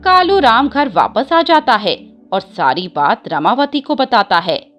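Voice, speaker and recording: A woman's voice: fairly high pitch, sing-song, takes it slowly, fairly bright tone, faint music behind. A woman narrating a scripted devotional story as a storyteller.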